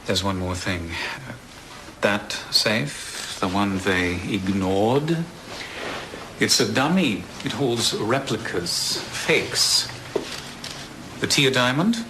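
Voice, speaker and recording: An actor playing a grave, deliberate male store manager in a police audio drama. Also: fairly low pitch. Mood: grave, guarded. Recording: loud ambient background noise.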